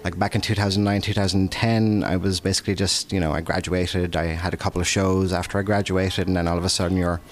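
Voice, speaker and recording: A man's voice: deep voice, little pitch movement, fast talker, hardly any pauses. A man in his thirties or forties, an artist, answering interview questions in a reflective, rambling way with many fillers. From Irish and Dutch, based in Amsterdam. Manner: reflective, rambling, with "uh" and "you know".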